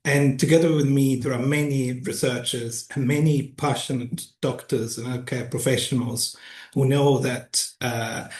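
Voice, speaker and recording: A middle-aged man gives a public lecture at a middle pitch. He speaks in a measured, deliberate way, stressing his key points and asking rhetorical questions. The sound carries the echo of a large lecture hall. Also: darkish tone. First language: Italian. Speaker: university lecturer.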